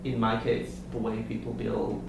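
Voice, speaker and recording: Creaky, hesitant man, echoey room. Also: medium pitch, completely flat delivery. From Israel. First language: Hebrew. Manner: hesitant, many pauses and ums. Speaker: interviewee, PhD student. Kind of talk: casual interview. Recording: echoey room, distant mic, noisy.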